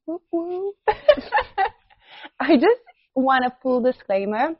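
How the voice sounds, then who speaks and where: A woman's voice: high voice, animated, no room sound. South African woman, 30s, podcast co-host, talkative, laughs easily; muffled lo-fi, dead dry room. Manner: chatty, laughs often, quick asides. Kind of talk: casual chat.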